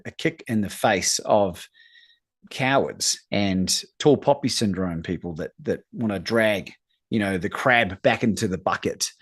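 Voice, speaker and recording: A man from New Zealand with a New Zealand accent, speaking as a coach in an interview with an emphatic, earnest motivational delivery. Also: fairly low pitch. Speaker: guest, courage coach.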